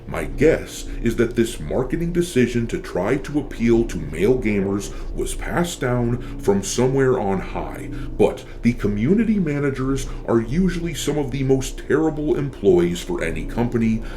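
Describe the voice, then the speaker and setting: A man's voice: mid-range voice, big pitch swings, moderate pace, hardly any pauses, warm tone, slight background noise. A man giving opinionated gaming commentary as a channel host, blunt and sardonic, swearing casually.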